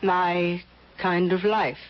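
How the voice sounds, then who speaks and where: An actress playing an ailing, overweight, wealthy middle-aged recluse in a radio drama, speaking in a halting, imperious, fussy way. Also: female voice, fairly low pitch, flat delivery. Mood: aloof. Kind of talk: old-time radio drama acting. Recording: muffled old radio broadcast recording.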